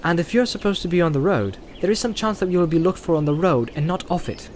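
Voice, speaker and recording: A man voicing a careful, earnest young male hobbit leader in an audiobook, speaking in a measured, firm way with a slightly raised pitch. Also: expressive, slight background noise. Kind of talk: audiobook character voice.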